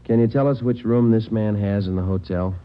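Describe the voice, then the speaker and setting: An actor playing a terse, deadpan police detective sergeant who narrates his case in a radio crime drama. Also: lowish voice, fairly quick, smooth, close to an everyday voice. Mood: businesslike. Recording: dull vintage radio recording, music behind.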